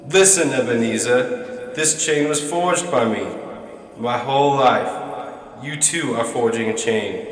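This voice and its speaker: A man acts out a sorrowful male ghost in chains for a radio drama. He speaks very slowly and solemnly, sounding distant in a long, echoing reverb. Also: mid-range voice. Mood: mournful. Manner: slow, solemn, drawn-out delivery. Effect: heavy ghostly reverb. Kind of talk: stage-like radio drama acting.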